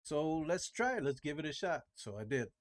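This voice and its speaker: A man's voice: on the high side, sing-song, normal speed. A fifty-year-old man talking casually about making music, rambling and restarting his sentences, with lots of fillers. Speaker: music producer vlogger. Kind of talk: casual home-studio vlog.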